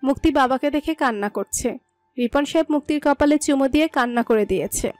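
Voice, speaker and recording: High-pitched woman narrating romance. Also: fairly quick, dry recording. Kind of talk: scripted romantic story narration. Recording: dry voice over soft music.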